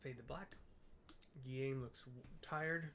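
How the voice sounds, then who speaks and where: A man talks through a video game he is playing, in a casual and slangy style. His voice sits at a middling pitch, and his manner is playful and informal, like a gamer commentating for viewers. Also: fairly even intonation, slow pace, pushed and bright, a little hiss or hum. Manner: playful, slangy, breaks into singing and sound effects. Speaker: gamer narrating own playthrough. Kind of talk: casual gameplay commentary with read-aloud dialogue.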